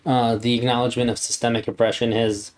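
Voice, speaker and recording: Deadpan sarcastic man streaming. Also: mid-range voice, fast talker. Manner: mocking, deadpan, hesitant with many uh fillers. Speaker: streamer reacting to a clip. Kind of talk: casual sarcastic commentary. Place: home streaming setup.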